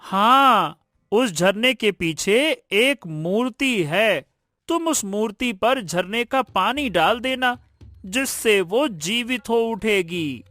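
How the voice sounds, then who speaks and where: Woman voicing a slow, coaxing cartoon parrot. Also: medium pitch, lively intonation, a bit bright, no room sound, clean studio sound, faint music behind. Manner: coaxing, repeating invitations. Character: coaxing talking parrot, male, guiding a traveller. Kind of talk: cartoon moral story acting.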